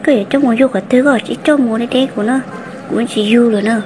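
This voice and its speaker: A woman voicing a female character in an amateur animated cartoon drama. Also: on the high side, very fast, over music, lightly acted. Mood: conversational. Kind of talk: hobby animated cartoon dialogue.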